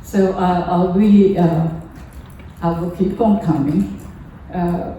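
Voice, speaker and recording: An older woman from Japan, a native Japanese speaker with a Japanese accent, gives a halting, earnest personal talk as a lay speaker at a temple service. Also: fairly low pitch, steady tone, dark tone, dull audio. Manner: halting, earnest, pausing often mid-phrase. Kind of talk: personal testimony talk to a congregation. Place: temple hall during a service. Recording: echoey room, distant mic, faint noise.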